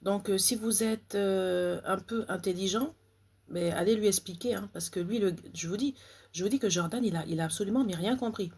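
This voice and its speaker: A woman who grew up in Côte d'Ivoire, an author talking straight to her viewers, indignant and halting, full of fillers and repeated phrases. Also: mid-range voice, darkish tone, slightly rough. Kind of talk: direct-to-camera vlog rant.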